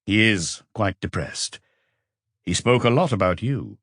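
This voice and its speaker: A man voicing a calm, probing police inspector in an audiobook, with measured, terse questioning. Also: fairly low pitch, no room sound, studio quality.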